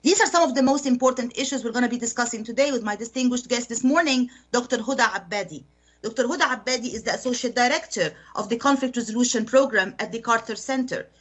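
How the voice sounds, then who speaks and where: Polished woman radio host, higher voice. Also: quick pace. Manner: polished, reads a prepared introduction. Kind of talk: formal radio interview hosting.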